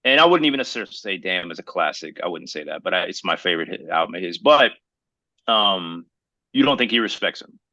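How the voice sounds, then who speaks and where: An American man hosts a casual podcast chat, probing and making his case as he goes. His voice is fairly low but bright and forceful, captured with a dry, close sound. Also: animated, fast talker, no room sound. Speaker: podcast host.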